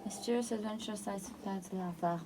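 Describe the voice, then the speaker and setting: A woman's voice: medium pitch, moderate pace, dark tone, distant mic, a little hiss or hum, low-quality recording. A woman interviewing a friend in casual chat, asking many curious follow-up questions.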